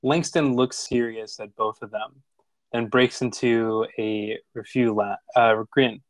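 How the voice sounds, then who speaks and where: A man's voice: medium pitch, steady tone, slow, no room sound, rough recording. Dry-sounding man reading stage directions. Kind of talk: scripted narration at a table read.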